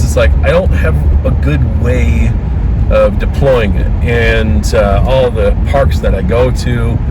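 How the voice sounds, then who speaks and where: A man's voice: fairly low pitch, very noisy. A man chatting casually in a rambling, opinionated way, with a dry throat, recorded in a car. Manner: conversational, opinionated, frequent fillers and restarts. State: dry throat, occasional coughs. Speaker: ham radio vlogger. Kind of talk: casual rambling vlog talk.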